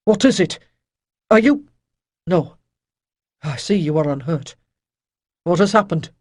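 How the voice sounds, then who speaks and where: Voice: mid-range voice, animated, normal speed, a little mellow, no echo, clean studio sound, lightly acted. A reader voicing a commanding male ship captain who speaks in a clipped, authoritative way. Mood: alarmed.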